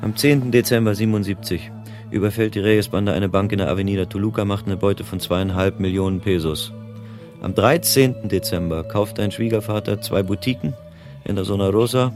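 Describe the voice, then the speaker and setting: An actor playing a world-weary private detective in a German radio drama, explaining things bluntly in colloquial speech. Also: male voice, fairly low pitch, fairly quick, a little mellow, faint music behind, close to an everyday voice. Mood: insistent, explaining.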